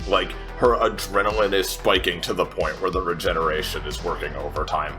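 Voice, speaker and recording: A man's voice: sits low, steady tone, fairly quick, pushed and bright, faint music behind. A man playing a tabletop game, describing his character's actions in casual, emphatic, piecemeal phrases.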